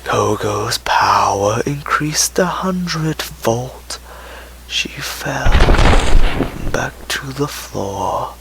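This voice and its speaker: Slow, animated drama narrator. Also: medium pitch, vocal fry, background noise. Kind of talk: dramatic scripted story narration.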